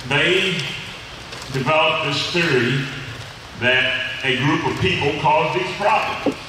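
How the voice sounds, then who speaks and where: Loud-voiced older man lecturing in an echoey hall. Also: mid-range voice. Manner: plain, earnest, loud delivery with many fillers and restarts. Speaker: retired history teacher giving a talk. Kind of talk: public history lecture. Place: large hall with a seated audience, in doors. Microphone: podium microphone, filmed by a far camera. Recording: echoey hall from far off, audible room noise.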